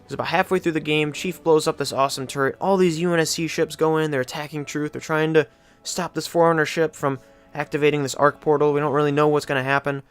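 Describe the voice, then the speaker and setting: A man's voice: fairly high pitch, little pitch movement, quick pace, clean tone, faint music behind. A man giving casual, rambling commentary as the host of a video game countdown, often correcting himself conversationally.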